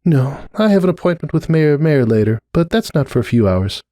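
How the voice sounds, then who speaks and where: A kindly middle-aged mare from a storybook, voiced by a man, pitched well up from his natural register. She is flustered and grateful, speaking hesitantly and letting sentences trail away in a very dry recording. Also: very animated, fairly quick, warm tone, no room sound. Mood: polite. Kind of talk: dramatic storybook reading.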